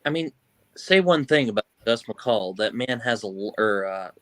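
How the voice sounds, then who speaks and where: Man with lively pitch swings, book chat. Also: moderate pace, no room sound. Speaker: book discussion panelist. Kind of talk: casual joking book chat.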